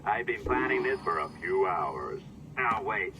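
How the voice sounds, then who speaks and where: An actor playing a volatile, sardonic hacker and blackmailer in a video game, menacing and mock-friendly. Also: male voice, on the low side, sing-song, a little slow. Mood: gleeful, pushy. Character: sly, volatile male conspiracy-minded hacker and blackmailer. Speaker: hacker accomplice giving orders over an earpiece.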